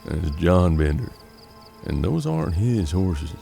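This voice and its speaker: A man acts as a seasoned western detective and mentor. His voice is low and gravelly with a dark, mellow tone, and he speaks in a measured, folksy, world-weary way over faint music. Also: big pitch swings, heavily acted. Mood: grave, watchful. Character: seasoned, gruff frontier detective and bounty hunter, mentor. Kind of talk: western audio drama acting.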